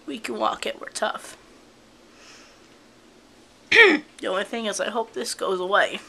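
A woman's voice: mid-range voice, lively intonation, gravelly. A young woman with a cold, chatting casually into a handheld camera in her bedroom. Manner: soft, slow and chatty, cooing at her cats. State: has a cold. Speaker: vlogger. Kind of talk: casual home video chat. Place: bedroom at home. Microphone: handheld camera close up.

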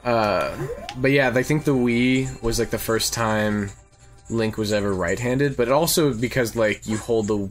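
A man's voice: medium pitch, unhurried. A man giving casual, rambling and profane gaming commentary as he plays. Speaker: let's play host, playing the game. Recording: game music behind the voice. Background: video game music.

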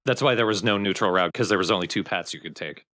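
A man's voice: deep voice, quick pace, pushed and bright. A man giving casual let's play commentary, explaining and joking along.

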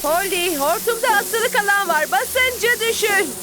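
Capable female fire engine, high animated voice, reassuring, cartoon dub. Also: measured pace, very noisy. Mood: urgent. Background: music.

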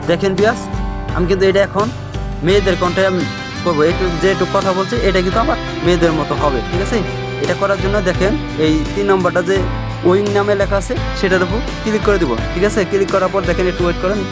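A man's voice: high-pitched, steady tone, fast talker. A man narrating a step-by-step app tutorial, repeating himself and often checking that the viewer follows. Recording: voice over plucked guitar music. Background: plucked guitar music.

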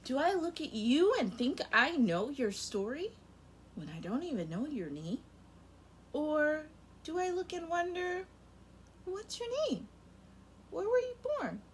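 A young woman teacher reading a picture book aloud to kindergarten children, very expressive, her loudness rising and falling sharply. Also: high-pitched, unhurried, pauses often, slight background noise. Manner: expressive and animated, with big swings in loudness. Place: home room in front of a rainbow feather backdrop.

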